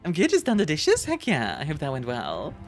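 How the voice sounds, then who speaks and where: A woman's voice: low-pitched, huge pitch swings, fairly quick, soft background music. A woman from Belgium with a British-leaning English accent, streaming and chatting casually with her viewers, bubbly and giggly with lots of fillers. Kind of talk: casual chat reading live chat.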